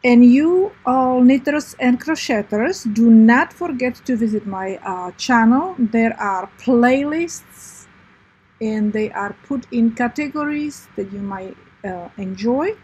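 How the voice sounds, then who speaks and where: A woman's voice: fairly high pitch, lively intonation, takes it slowly, darkish tone, husky, low-quality recording. A woman with a Czech accent, a craft channel host, patiently walking viewers through a tutorial step by step, with many "uh" fillers. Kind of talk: screen-recording tutorial.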